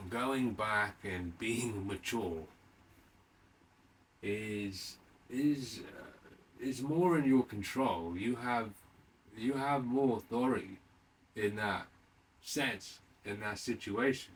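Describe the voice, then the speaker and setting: A man in his late thirties with a fairly low voice talks off the cuff, as if recorded some way from the microphone. He circles back over his phrases, restating them haltingly, and throws questions out to the listener. A faint layer of noise sits behind him. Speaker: podcast host. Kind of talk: rambling unscripted monologue. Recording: distant mic with faint background noise.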